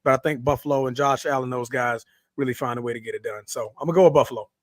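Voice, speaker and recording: A man co-hosting a sports podcast with a hoarse, raspy voice, chatting loosely with plenty of fillers. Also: mid-range voice, racing, no echo. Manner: relaxed, rambling with many fillers. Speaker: podcast co-host. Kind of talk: casual sports chat.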